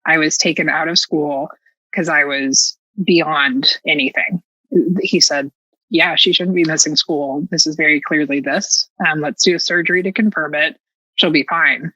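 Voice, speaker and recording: Candid American woman, low bright voice. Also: fairly even intonation, moderate pace, no room sound, lo-fi sound. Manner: candid, sarcastic, self-mocking, acting out dialogue. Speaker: podcast guest. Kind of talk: casual personal storytelling.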